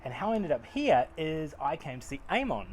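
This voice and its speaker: A man's voice: on the high side, brisk, a little hiss or hum. New Zealand man chatting in an interview. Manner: relaxed, self-deprecating, many fillers. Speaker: acupuncturist and researcher. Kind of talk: casual interview answers. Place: outdoor veranda, daytime. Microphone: lapel mic.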